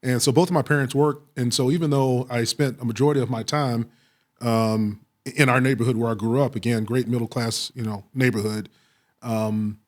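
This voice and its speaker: A middle-aged American man from Texas answering interview questions with personal stories, speaking reflectively with frequent pauses and repeated phrases. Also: mid-range voice, darkish tone. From Waco, Texas, United States. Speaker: police chief, interview guest.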